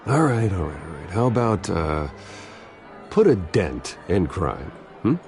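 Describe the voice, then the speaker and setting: A brooding American billionaire in a game cutscene. His voice is very low yet varied in pitch, with a dry, quietly determined delivery over faint music. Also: big pitch swings, measured pace, exaggerated voice. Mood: wry. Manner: understated, dry, assured. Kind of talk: video game cutscene acting.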